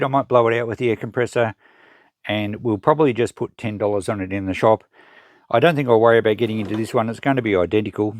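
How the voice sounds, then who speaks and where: An Australian man giving casual hands-on commentary, thinking aloud and explaining things in an unhurried way as he works. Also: medium pitch, a little mellow, no echo, clean studio sound. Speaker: presenter of a vintage electronics salvage channel.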